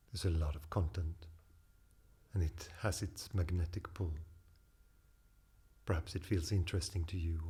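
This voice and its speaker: A man leading a guided meditation as a teacher, speaking in calm, deliberate phrases with long pauses. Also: sits low, breathy edge.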